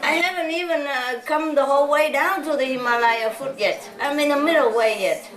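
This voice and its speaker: A woman's voice: sits high, fairly quick, fairly bright tone. A woman from Vietnam, giving an informal talk to followers as a spiritual teacher, chatty and laughing often. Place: large hall with a live audience. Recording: echoey hall, distant mic, audience noise.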